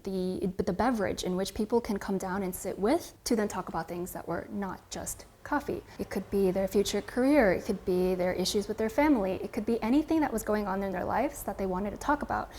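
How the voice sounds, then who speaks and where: A woman's voice: medium pitch, a little mellow. A woman in her twenties with an American accent, telling her own story casually to camera in a chatty, reflective way. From United States, California. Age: 20s. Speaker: coffee content creator and barista.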